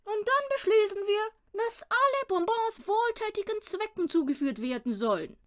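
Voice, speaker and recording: Lady doing a bossy little braggy storybook man, loud, high, bouncing all over. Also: animated, normal speed. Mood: bossy, grand. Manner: loud, pompous, given to pouting and bragging. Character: boastful, bossy, excitable little flying man.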